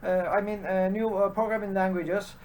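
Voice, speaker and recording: A man's voice: sits high, level tone, a little mellow. Hesitant man with a Turkish accent. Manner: halting, heavy with "uh" fillers and restarts. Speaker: programming channel host. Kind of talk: unscripted solo commentary.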